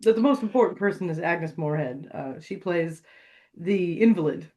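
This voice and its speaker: A woman's voice: fairly low pitch, animated, moderate pace, mellow. A woman co-hosting a podcast, giving wry, casual commentary in conversation. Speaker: podcast co-host.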